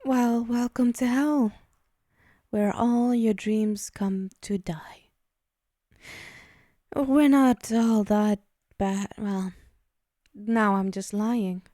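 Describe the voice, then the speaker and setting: A woman playing a possessive, teasing female demon in an ASMR roleplay, menacing yet indulgent, hushed and speaking directly to the listener. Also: fairly high pitch, very slow, pauses often, no room sound, clean studio sound. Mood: teasing menace.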